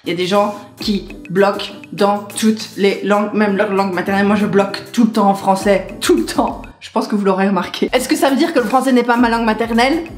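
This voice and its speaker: A woman in her twenties vlogging to camera in a chatty, rambling, animated way, gesturing as she talks. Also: medium pitch, fairly quick, few pauses. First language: French. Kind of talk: casual chat to camera. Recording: echoey room with faint music.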